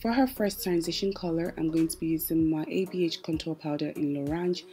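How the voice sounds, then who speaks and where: Low-pitched, mellow woman narrating a tutorial. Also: a little fast. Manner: chatty, explanatory, addresses viewers directly. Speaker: makeup tutorial host. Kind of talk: casual tutorial voice-over. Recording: music playing behind the voice. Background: background music.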